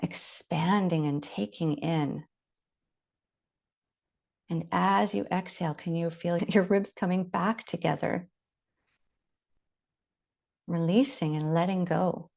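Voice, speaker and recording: A woman leading a guided body scan meditation, giving gentle, unhurried instructions with long pauses. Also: lowish voice, creaky.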